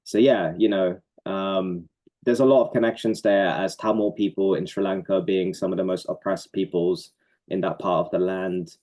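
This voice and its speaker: A man's voice: low-pitched, steady tone, moderate pace. A man from Norway, a poet and community organizer, talking earnestly and reflectively about his work in an interview. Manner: reflective, earnest, with soft laughs and fillers. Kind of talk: conversational interview answers.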